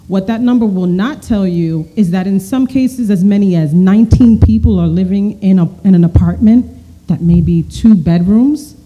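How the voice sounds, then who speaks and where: A woman's voice: medium pitch, dark tone, rough recording. A woman giving earnest, emphatic prepared testimony as a community board member at a podium microphone in an auditorium. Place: large auditorium.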